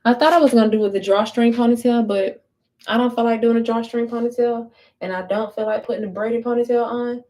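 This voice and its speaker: A woman's voice: a little high, steady tone, brisk, dark tone. A woman chatting casually on a home livestream while doing her hair, blunt and profane, often repeating herself. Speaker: livestream vlogger. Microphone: phone livestream.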